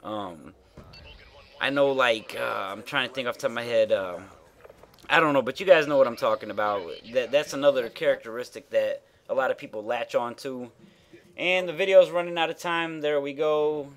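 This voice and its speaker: A man's voice: medium pitch, normal speed. A man with a nasal voice giving frank, rambling commentary over gameplay as a gaming YouTuber, full of fillers and the odd swear.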